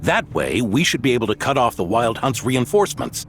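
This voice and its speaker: An earnest guardian soldier in a video game. He speaks formally and courteously in a medium-pitched voice. His intonation moves a lot, and music plays softly behind him. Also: sing-song. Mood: urgent, focused. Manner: formal, measured. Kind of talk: English video game voice acting.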